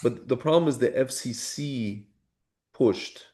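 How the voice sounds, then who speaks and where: A man's voice: medium pitch, slow pace, dark tone. A man co-hosting a casual discussion podcast, colloquial and insistent, repeating phrases for emphasis as he gives his opinions. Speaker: podcast co-host.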